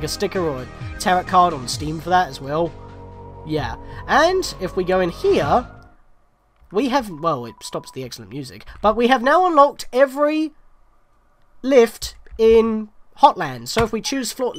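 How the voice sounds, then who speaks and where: A woman hosting a gameplay video with casual, conversational commentary. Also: mid-range voice. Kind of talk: casual let's play commentary. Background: game music.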